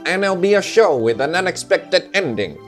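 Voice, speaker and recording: A man's voice: high-pitched, sing-song, fairly quick, a bit bright, soft background music. A man voicing a self-important male puppet entertainment reporter, gushing in promotional style on a fan-dubbed puppet show. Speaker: entertainment commentator. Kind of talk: scripted TV puppet show presenting.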